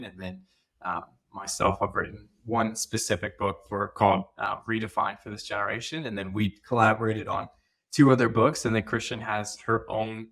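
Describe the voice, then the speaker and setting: A man's voice: fairly low pitch, a bit bright. A young man talking casually as a podcast guest, often restarting his phrases as he rambles along.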